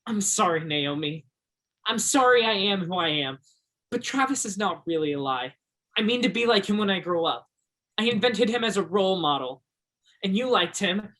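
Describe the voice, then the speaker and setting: An actor in a stage play as an earnest, romantic teenage high school boy with a theatrical way of talking. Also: animated, moderate pace, bright, forceful tone, full character voice. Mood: apologetic, earnest.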